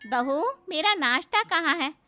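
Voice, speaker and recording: A woman acting as a jealous middle-aged mother-in-law from a cartoon family drama. Her voice is high and piercingly bright, with a demanding, suspicious, possessive manner, and music runs underneath. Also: animated, unhurried, heavily acted. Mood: accusing. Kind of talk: Hindi animated moral story acting.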